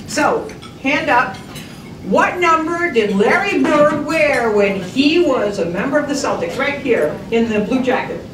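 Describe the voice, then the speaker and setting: Woman, reporter hosting trivia, fairly high voice, roomy hall, mic set back, audience noise behind. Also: animated, measured pace. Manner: chatty, self-deprecating, jokey. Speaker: TV news reporter giving a talk. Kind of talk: casual trivia talk to an audience. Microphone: handheld microphone. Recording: echoey hall, mic at a distance.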